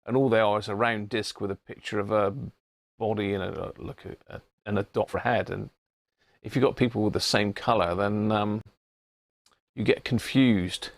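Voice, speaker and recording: A man's voice: lowish voice, steady tone, normal speed, no room sound, clean studio sound. A middle-aged man with an English accent chatting informally on a live stream, rambling and thinking aloud as he demonstrates things. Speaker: live stream host of a radio hobby channel. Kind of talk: casual live chat with demonstration. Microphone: wireless body-pack microphone.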